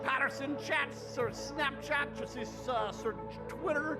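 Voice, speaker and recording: A very high, gravelly male voice, a native English speaker pushing through French with loud, playful energy. He drops English phrases into his French and lets out whoops. Music plays clearly behind him. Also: takes it slowly. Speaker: comedian guest. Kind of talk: hyped-up festival interview.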